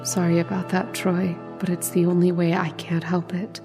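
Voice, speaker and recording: A woman's voice: lowish voice, flat delivery, moderate pace, close to an everyday voice. A woman playing a tender, insistent, eerily knowing dream lover in an old-time radio horror drama, intimate and melodramatic. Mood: soft, insistent. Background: violin music.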